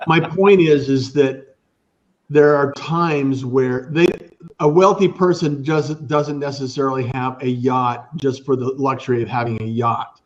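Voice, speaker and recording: A man's voice: mid-range voice, steady tone, unhurried, lo-fi sound. A man hosting a business talk show, rambling and repeating words as he asks his guest questions, and joking at himself when he stumbles.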